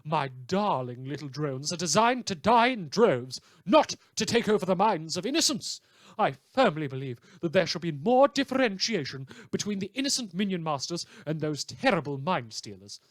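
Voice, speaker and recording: A man performs a haughty minion-master villain for an audio drama, aggrieved and indignant. The diction is florid and precise, with big swoops of pitch. The sound is dry and close. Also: sing-song, normal speed, dry recording.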